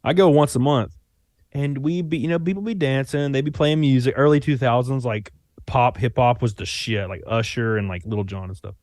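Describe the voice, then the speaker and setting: A man's voice: medium pitch, fairly even intonation, moderate pace, a little mellow, no room sound. Man, Alabama accent, young adult, rambling casual talk, dry sound. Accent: Southern US (Alabama). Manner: rambling, loose, with many fillers and restarts. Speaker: YouTuber guest. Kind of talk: casual conversation.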